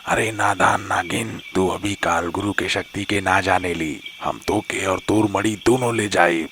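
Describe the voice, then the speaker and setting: A wicked sorcerer with a deep, creaky, gravelly voice for a cartoon folk tale. He is arrogant and mocking, savouring every threat. Faint noise lies behind him. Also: a bit bright, heavily acted. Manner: gloating, drawn-out taunts with villainous laughter.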